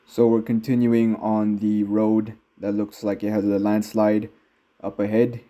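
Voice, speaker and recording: An American man, his accent slightly tinged Filipino, giving relaxed, rambling vlog commentary from inside a car while driving mountain roads. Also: fairly low pitch, measured pace. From United States. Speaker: vlogger. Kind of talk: casual driving vlog narration.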